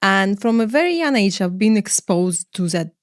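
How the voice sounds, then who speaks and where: A middle-aged woman from Ukraine, a finance executive answering interview questions in a measured way, often pausing to find words and listing points one by one. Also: mid-range voice, lively intonation, dry recording, studio quality.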